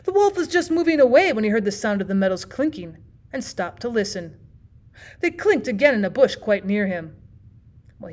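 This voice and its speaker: Higher-voiced woman reading a story aloud. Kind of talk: expressive audiobook narration for young listeners.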